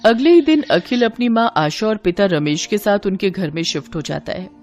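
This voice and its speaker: A woman's voice: medium pitch, animated, moderate pace, a little mellow. A woman reading a scripted story as its narrator. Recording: music behind the voice.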